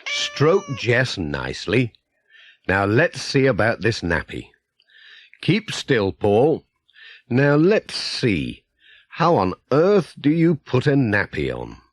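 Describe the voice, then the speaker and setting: A kindly village postman from a children's storybook, played by a male reader. He speaks slowly with a lively rise and fall, gentle and patient, homely and a little bemused. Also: mid-range voice, dry recording, exaggerated voice. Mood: puzzled, coaxing. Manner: gentle, homely, mildly flustered.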